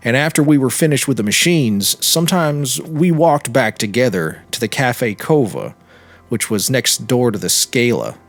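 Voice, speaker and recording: A man narrating a literary short story in a measured, dramatic reading with deliberate pauses. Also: medium pitch, animated. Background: music.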